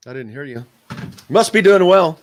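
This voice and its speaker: A man hosting a sports radio show, chatting casually, joking and rambling in an animated way. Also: a little high, normal speed, crowd noise.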